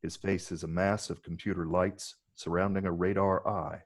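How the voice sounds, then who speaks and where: Deep-voiced male script narrator. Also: flat delivery, normal speed. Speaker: narrator of stage directions.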